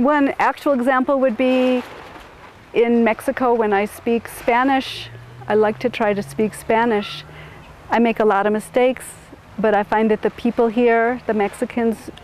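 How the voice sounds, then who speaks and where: A middle-aged woman from suburban Chicago, answering interview questions in a thoughtful, halting way with many restarts. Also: fairly high pitch, a little mellow, a little hiss or hum, rough recording. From suburban Chicago, USA.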